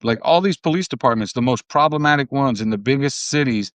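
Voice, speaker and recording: A man's voice: mid-range voice, lively intonation, a little fast, a bit bright, no room sound. A man from New Jersey talking earnestly through the news on a podcast, with lots of fillers. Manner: earnest, explanatory, filler-heavy. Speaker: podcast co-host, journalist. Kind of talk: casual political talk.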